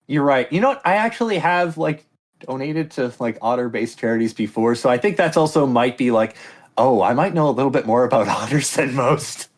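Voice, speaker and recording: A man's voice: medium pitch, a little fast. A man hosting a casual comedy podcast quiz, reading statements aloud and joking off on tangents. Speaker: quiz host.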